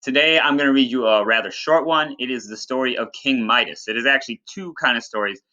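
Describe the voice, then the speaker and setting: A man with a bright, forceful voice reads a story aloud in the manner of a teacher. His delivery is informal and instructive, at a middling pitch. The recording is slightly dull and lo-fi. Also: fairly quick. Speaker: teacher reading aloud to pupils. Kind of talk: read-aloud storytelling with plain explanatory asides. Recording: dull, lo-fi sound.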